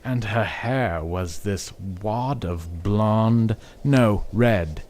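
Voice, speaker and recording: An actor playing a blunt, lecherous Duke in a storybook audio drama, grumbling and emphatic. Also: male voice, lowish voice, very slow, darkish tone. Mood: puzzled. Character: lecherous, blunt, bearded middle-aged Duke.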